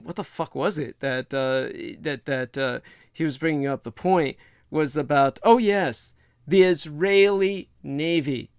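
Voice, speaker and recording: A man hosting a livestream, giving a rambling, scornful opinion monologue in a creaky voice with many drawn-out hesitations and coarse language. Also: fairly high pitch, no room sound, studio quality. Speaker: livestream host.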